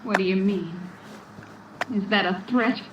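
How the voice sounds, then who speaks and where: An actress playing a wounded, betrayed young wife in an old-time radio drama, earnest and melodramatic. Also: female voice, mid-range voice, a little slow, highs cut off, lightly acted. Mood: indignant, hurt. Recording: noisy, distant, roomy old radio recording.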